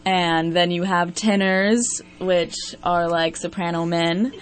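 A woman's voice: on the low side, fairly even intonation, takes it slowly. A teenage girl who sings soprano in a choir, explaining the voice parts on the radio with lots of fillers and giggles. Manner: giggly, filler-heavy, upbeat. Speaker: choir singer. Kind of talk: casual explanation.

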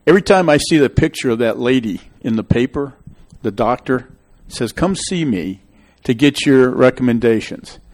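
Middle-aged man, local meeting host, emphatic opinionated rambler, average pitch. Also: lively intonation, moderate pace, a little mellow. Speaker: local official hosting a public meeting show. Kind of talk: town meeting discussion, opinionated.

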